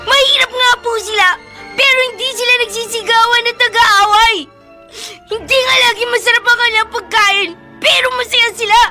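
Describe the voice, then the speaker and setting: A very high, bright child's voice, a distressed young Filipino boy who runs from home. He pleads tearfully and defiantly, pressing every phrase, with faint music around him. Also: steady tone, moderate pace, heavily acted. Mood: anguished defiance. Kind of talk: Philippine radio drama acting.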